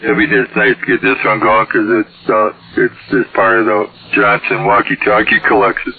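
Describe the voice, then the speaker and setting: A man's voice: lowish voice, flat delivery, moderate pace, creaky. A man chatting casually over CB radio in a hesitant, folksy way. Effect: radio transmission. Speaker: CB radio operator. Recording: tinny, band-limited CB radio signal.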